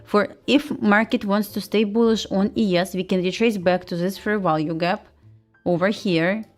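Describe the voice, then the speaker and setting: A woman's voice: medium pitch, steady tone, normal speed, faint music behind. Woman livestreamer with a Russian accent. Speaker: host, futures day trader. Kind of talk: casual livestream chat and trading commentary.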